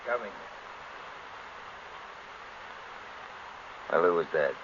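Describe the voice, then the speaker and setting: An actor playing a tough, nervous small-time crook in an old radio crime drama, talking in curt slang. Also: male voice, deep voice, expressive, rapid-fire. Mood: curt, suspicious. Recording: dull old radio recording with hiss.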